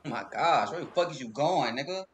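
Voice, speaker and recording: A young man from Texas streaming a basketball video game on a headset mic, trash-talking loudly with lots of profanity. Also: fairly high pitch, lively intonation, fairly quick, a little hiss or hum. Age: young adult. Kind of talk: live gameplay commentary. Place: indoor room at home.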